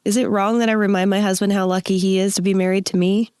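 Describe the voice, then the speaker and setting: A woman co-hosting a podcast, playful and joking, her voice husky and lowered from a cold. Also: level tone, fast, a little mellow, no echo, studio quality. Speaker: podcast co-host. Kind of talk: casual podcast conversation.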